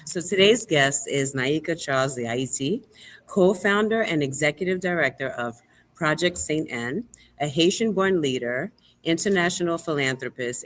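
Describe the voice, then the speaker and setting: A woman hosting a relaxed live interview, speaking emphatically and repeating words for stress. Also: low-pitched. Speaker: live show host and museum board president. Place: remote video call livestream.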